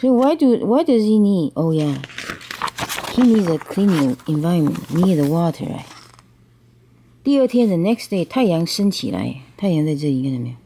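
A woman's voice: fairly low pitch, expressive, normal speed, dark tone, slight background noise. A woman reads a picture book aloud to a child, explaining bilingually and checking understanding with questions. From Taiwan.

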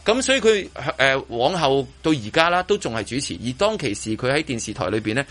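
A man's voice: medium pitch, sing-song, fairly quick, a bit bright. A middle-aged man with a Hong Kong accent chatting casually as a radio host, rambling and repeating his phrases as he goes. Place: radio studio.

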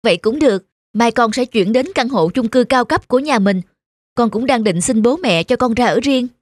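A woman's voice: on the high side, expressive, normal speed, no room sound, clean studio sound. A woman voicing a spoiled grown-up son in his twenties in a cartoon drama, whiny and pleading. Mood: smug.

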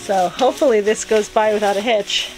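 A woman's voice: mid-range voice, fairly even intonation, brisk, faint music behind, low-quality recording. A woman in her thirties chatting casually outdoors on a rural homestead, cheerful and teasing. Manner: cheerful, teasing, coos to animals. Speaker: homesteading vlogger.

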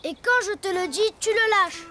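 An actor playing an excitable, imaginative little boy donkey in a preschool cartoon, childlike and eager. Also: extremely high pitch, moderate pace, over music. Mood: excited.

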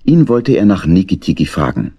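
A man's voice: sits low, sing-song, a little fast, dark tone, husky, no echo. A man narrating a children's story in an audio drama as a scripted storyteller.

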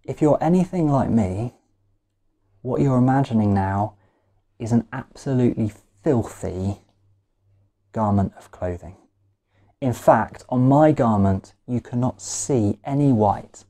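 A man preaches in an unhurried, deliberate way. His tone is mellow and relaxed, yet he leans on key words and repeats phrases for emphasis, leaving measured pauses between them. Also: medium pitch, creaky. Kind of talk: sermon. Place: small living room at home, indoors.

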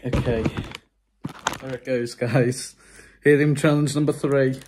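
A young adult man chatting casually and haltingly to his phone in an unscripted vlog, often sounding unsure. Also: mid-range voice, steady tone, noisy background. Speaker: vlogger. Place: small room indoors. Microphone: phone at arm's length.

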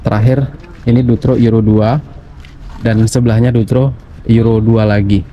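A man's voice: lowish voice, level tone, moderate pace, dull audio. A man with a Javanese Indonesian accent hosting a casual walkaround of used vehicles outdoors, chatting directly to his viewers. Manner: chatty, addresses viewers as Mas Bro. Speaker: channel host. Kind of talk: casual walkaround car review. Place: outdoor auction vehicle yard. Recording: faint outdoor background noise.

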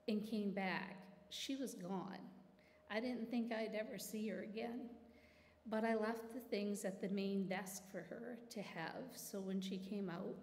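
A woman's voice: mid-range voice, darkish tone, a little hiss or hum. Earnest middle-aged woman in echoey church. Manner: earnest, emotional, fighting back tears. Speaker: church member giving testimony. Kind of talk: personal testimony to a congregation. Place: large church sanctuary. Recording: echoey hall with long reverb.

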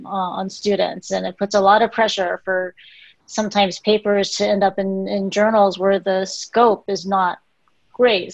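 A woman's voice: mid-range voice, creaky, dry recording. Middle-aged woman, earnest over a dry call. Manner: earnest, reflective, trailing into quiet asides. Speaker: journal editor. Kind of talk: panel discussion. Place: small home office. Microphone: video call with earbuds. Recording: dry, muffled video call audio.

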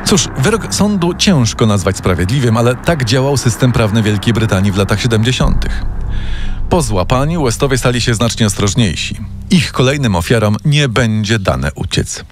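A man's voice: mid-range voice, sing-song, normal speed. A man reading a scripted true-crime story as a podcast narrator, recorded as a studio voice-over. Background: music.

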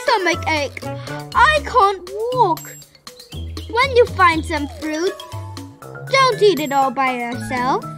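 A whiny cartoon pig, greedy and lazy. The voice is extremely high and childlike, drawn out slowly in exaggerated children's story style, over clearly audible music. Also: very animated, full character voice. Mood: whining. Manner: slow, exaggerated, childlike delivery.

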